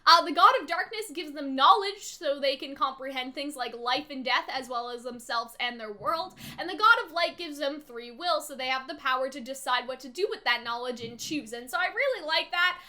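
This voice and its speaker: Bright, high-pitched woman chatting casually. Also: moderate pace, hardly any pauses. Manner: informal and chatty, often seeking agreement. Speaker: online video host analysing animated series lore. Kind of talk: casual fan analysis and discussion.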